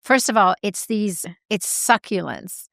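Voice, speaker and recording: Woman co-host, congested and gravelly, animated, dry studio sound. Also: medium pitch, a little slow, dry recording. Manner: animated, self-deprecating storyteller. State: congested, clearing throat and coughing. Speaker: podcast co-host. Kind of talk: casual chat.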